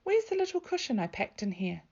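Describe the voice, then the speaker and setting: A woman voicing a firm, brisk mother who lays down the rules emphatically in a storybook. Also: extremely high pitch, sing-song, creaky, a little mellow, lo-fi sound, voice pushed up, exaggerated voice. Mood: cross, questioning.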